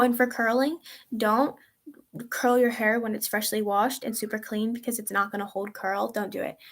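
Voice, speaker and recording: A teenage girl in a casual vlog, answering viewer questions in a chatty, rambling way with lots of ums and likes. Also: fairly high pitch. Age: teens. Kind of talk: casual chat.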